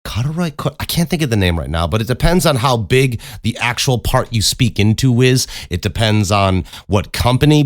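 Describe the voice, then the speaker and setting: A man with a deep voice hosts a casual solo podcast, giving advice in a blunt, emphatic way with plenty of swearing, close on a studio microphone. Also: dry recording. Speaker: podcast host and voice actor. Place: acoustically treated home recording room. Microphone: close studio condenser microphone.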